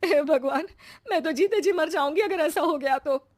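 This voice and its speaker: A woman's voice: high voice, moderate pace, pitched above the performer's own voice, lightly acted. A woman voicing a naive, anxious middle-aged mother in a moral story, fretful and plaintive. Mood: alarmed. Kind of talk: acted moral-story dialogue.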